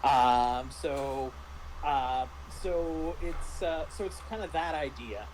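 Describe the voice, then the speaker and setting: A man talking casually as a game master discussing a roleplaying game, explaining in a halting, rambling way. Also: medium pitch, background noise.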